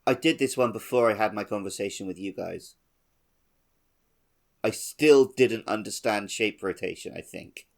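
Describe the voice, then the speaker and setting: A man's voice: fairly low pitch, moderate pace, gravelly. A man co-hosting a podcast, talking casually about a video game and often correcting himself as he goes. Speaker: podcast co-host.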